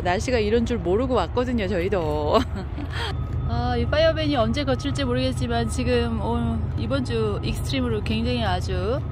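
A woman vlogging as she tours a campground, chatty and exclaiming often, recorded outdoors on a phone. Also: fairly high pitch. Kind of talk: casual vlog narration. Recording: outdoor phone audio with clear background noise.

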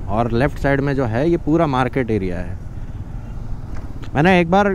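A man in his twenties narrating a casual travel vlog while riding a motorcycle on the highway, chatty and mixing in English phrases. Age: 20s. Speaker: motovlogger. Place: outdoors on a motorcycle on highways and town roads. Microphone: helmet or action camera mic while riding. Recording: loud wind and road noise.

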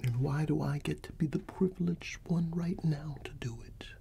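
A middle-aged man doing a casual ASMR barber roleplay, playing an easygoing uncle in a soft, breathy, often whispered voice and pausing for unheard replies. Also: on the high side, steady tone, slight background noise. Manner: unhurried, familiar and colloquial, with pauses for unheard replies. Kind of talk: ASMR roleplay, casual one-sided chat.

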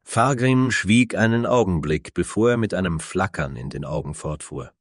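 A man's voice: low voice, lively intonation, normal speed, no room sound, studio quality. A man narrating a scripted fantasy story as its storyteller.

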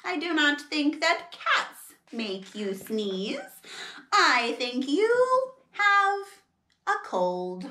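A mild and reasonable cat doctor from a children's storybook, read by a woman. The words come slowly and carefully, reassuring and bright, in a roomy space. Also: sits high, very animated, heavily acted. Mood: calm diagnosis. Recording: roomy, echoing sound.

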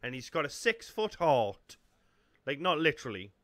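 A man's voice: fairly high pitch, very animated, moderate pace, fairly bright tone, no echo. A man in his thirties with a Geordie accent, giving casual off-the-cuff reaction commentary into a close microphone. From North East England. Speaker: reaction video host. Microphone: large close studio microphone.

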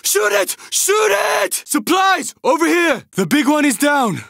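A man voicing an urgent young male survivor in a zombie video game, giving terse, forceful combat callouts. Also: very high-pitched, sing-song, measured pace, vocal fry, no echo, clean studio sound, full character voice. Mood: urgent, alarmed.

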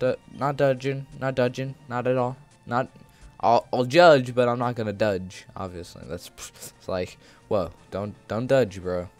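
A man giving casual, rambling commentary over a video game, joking in a goofy way and often repeating himself. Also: fairly low pitch. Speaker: gaming video creator. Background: video game music.

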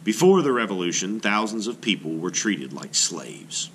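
A middle-aged man reading a children's nonfiction book aloud as an art teacher, in a clear, measured, expressive way. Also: low voice, a little hiss or hum.

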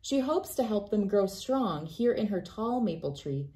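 Woman, thirties, children's read-aloud host, expressive storybook delivery, mid-range voice. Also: medium pitch, measured pace. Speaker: host reading a picture book aloud.

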